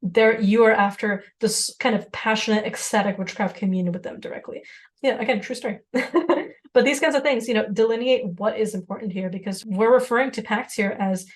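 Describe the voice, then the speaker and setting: Fast-talking woman. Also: a little high. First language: Serbian. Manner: rapid, talkative, laughs often. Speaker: podcast co-host. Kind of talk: casual discussion.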